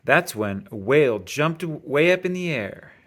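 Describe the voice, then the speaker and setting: A man reading a rhyming children's picture book aloud in an expressive, sing-song storytelling manner. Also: on the high side, a little slow. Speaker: storybook reader.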